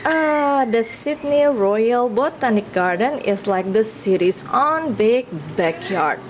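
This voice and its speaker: A woman from Indonesia reading a picture book aloud for children, expressive and inviting listeners with questions. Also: a little high, a little hiss or hum, poor audio. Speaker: read-aloud storyteller.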